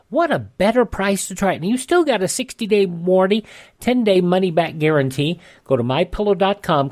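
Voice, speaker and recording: A woman with an American accent presents a radio program in a conversational way. Her pitch sits a little below average as she hosts and reads sponsor spots. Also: darkish tone. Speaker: radio show host. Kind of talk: conversational radio hosting and ad reading.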